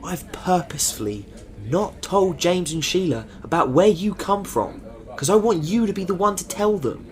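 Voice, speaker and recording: A man's voice: high-pitched, animated, normal speed. An actor playing a stern team leader who gives orders firmly and protects his people. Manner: firm, authoritative, swears when angry.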